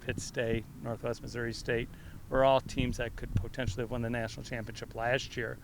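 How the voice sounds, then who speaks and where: Middle-aged coach guy, loud voice, rambly with lots of uhs, bit of background hiss. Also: medium pitch, flat delivery, brisk, vocal fry, poor audio. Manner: loose, rambling, frequent fillers and restarts. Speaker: head football coach. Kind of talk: press conference answers.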